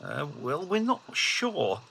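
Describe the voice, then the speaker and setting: A man's voice: fairly high pitch, huge pitch swings, vocal fry, bright, forceful tone, slight background noise, exaggerated voice. A man playing a hesitant, bumbling Victorian police inspector in an audio drama. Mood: flustered, earnest. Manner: hesitant, halting.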